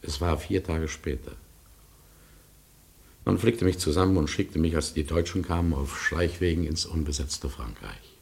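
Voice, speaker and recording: An actor playing a haunted, grieving man who recounts his past gravely and dramatically, with a husky voice, in a radio drama. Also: a bass voice, moderate pace, heavily acted. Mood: sombre recollection. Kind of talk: German radio drama acting, narration.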